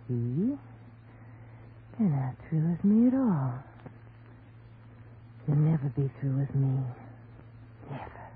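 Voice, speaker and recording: An American actress playing a drunken, taunting, spiteful young wife in a slangy, theatrical 1930s radio drama style. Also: female voice, low-pitched, very animated, slow, long pauses, full character voice. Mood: taunting, scornful. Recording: scratchy, tinny 1930s radio broadcast.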